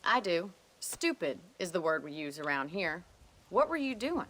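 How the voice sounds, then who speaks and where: An actress playing a brisk, sardonic young woman rancher with an American accent in a Western video game, frank and teasing. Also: lowish voice, big pitch swings, a bit bright, slight background noise. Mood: wry, teasing.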